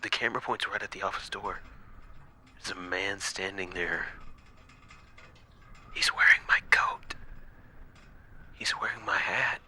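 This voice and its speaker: A man playing an exhausted, frightened night watchman recording halting horror-story log entries, dramatic and sometimes breaking into whispers. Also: deep voice, steady tone, pushed and bright, exaggerated voice. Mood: hushed, afraid. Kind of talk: first-person horror audio log narration.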